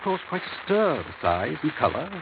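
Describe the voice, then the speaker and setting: Tinny, noisy vintage radio sound carries a fussy older family lawyer. His delivery swoops and varies, formal yet avuncular. He mutters small hesitations between brisk, businesslike phrases. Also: a little high, big pitch swings, creaky. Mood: dry, emphatic. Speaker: actor in a radio drama. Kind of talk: old-time radio drama acting.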